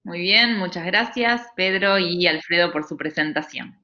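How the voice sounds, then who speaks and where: An Argentine-accented woman moderates an online session, speaking off the cuff. Her voice is rather low yet bright and clear-cut, carried over slightly dull video call audio. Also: measured pace. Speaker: conference moderator.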